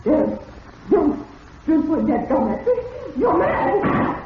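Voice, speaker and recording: An actress playing a trusting, genteel wife in an old-time radio drama, with an expressive delivery. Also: female voice, high-pitched, slow pace, exaggerated voice. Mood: terrified. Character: affectionate, trusting wife growing frightened. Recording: muffled, noisy vintage radio recording.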